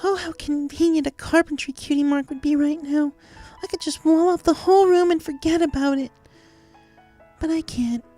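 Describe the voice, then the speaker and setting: A woman's voice: sits high, moderate pace, warm tone, soft background music, close to an everyday voice. A woman playing a desperately upbeat, haunted cartoon pony who reads out her diary with earnest, exaggerated cheer. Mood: troubled, earnest. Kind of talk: dramatized diary reading of fan fiction.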